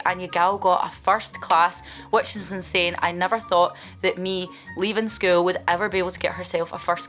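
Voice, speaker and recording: A woman's voice: lowish voice, a bit bright. Chatty woman in her twenties. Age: 20s. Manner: chatty and candid, with playful asides. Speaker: vlogger. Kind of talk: casual chat answering viewer questions.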